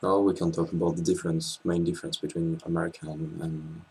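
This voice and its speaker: A man in his twenties with a French accent, chatting casually as a guest, hesitant with many pauses and restarts, recorded on a laptop webcam in a small room. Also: low voice, little pitch movement, gravelly, a little mellow, breathy edge. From France. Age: 20s. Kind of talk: casual interview chat.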